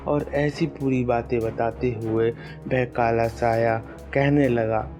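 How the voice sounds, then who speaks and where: Male cartoon storyteller over soft music. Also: medium pitch, fairly even intonation, slow. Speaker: story narrator. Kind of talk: scripted storytelling narration for cartoon tales.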